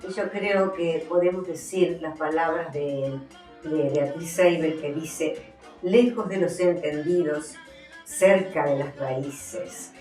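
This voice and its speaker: A woman with an Argentine accent presenting her books as an author, in a deliberate, explanatory way. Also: sits low, steady tone, a little mellow, faint music behind. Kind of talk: book presentation, explanatory talk with some reading. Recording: echoey, somewhat distant from the mic.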